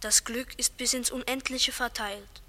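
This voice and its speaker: A woman's voice: a little high, fairly even intonation, a little slow, bright, forceful tone. A woman playing a thoughtful, confident schoolboy poet in a children's radio play, speaking earnestly and reflectively. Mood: pensive.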